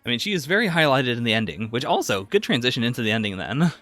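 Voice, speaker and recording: A man's voice: mid-range voice, very animated, fast, a bit bright, faint music behind. A man co-hosting a podcast, casually discussing anime in conversation. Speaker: podcast host.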